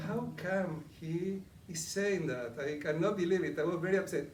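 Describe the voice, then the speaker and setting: Middle-aged argentinian guy presenting to a room, chatty, bit high voice, echoey with faint background hum. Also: unhurried, recorded from a distance. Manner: conversational, asks the audience questions. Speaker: coaching presenter. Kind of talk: live interactive presentation. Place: meeting room with a live audience.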